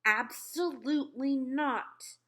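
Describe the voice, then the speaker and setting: A woman's voice: high voice, slow, sharp tone, voice pushed up, heavily acted. A woman voicing an earnest young girl in a storybook, speaking firmly and pleadingly. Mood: firm, urgent. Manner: firm, pleading.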